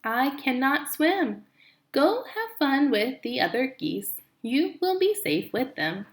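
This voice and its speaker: A woman voicing a careful, earnest robot mother in a storybook, speaking in a measured, word-by-word robotic way. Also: high voice, very animated, echoey room, pitched above the performer's own voice, full character voice.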